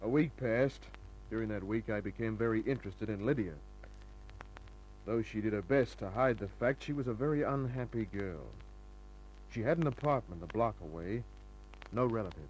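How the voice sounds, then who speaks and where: An actor playing a tough, cool private detective in an old-time radio drama, laconic and hard-boiled, narrating and talking. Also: male voice, on the low side, normal speed, darkish tone, a little hiss or hum, lightly acted, poor audio. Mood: dry, reflective. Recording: old radio recording, music behind.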